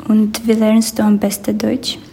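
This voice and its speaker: A woman's voice: medium pitch, monotone, unhurried, creaky, warm tone, breathy edge. A woman from Ukraine, politely asking questions and answering them on a podcast. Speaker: interviewer. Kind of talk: podcast interview.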